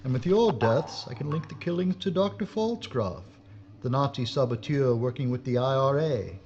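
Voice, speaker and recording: An actor playing a gloating, traitorous police inspector and killer in an audio drama, theatrical, smug and menacing. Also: male voice, medium pitch, normal speed, darkish tone, muffled recording, a little hiss or hum, close to an everyday voice. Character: gloating traitorous chief inspector, murderous Nazi saboteur.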